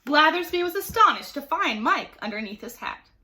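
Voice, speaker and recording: Female storybook narrator, teacherly, slightly high and bright, expressive with dramatic emphasis. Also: normal speed. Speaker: teacher reading aloud. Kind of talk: animated storybook narration for children.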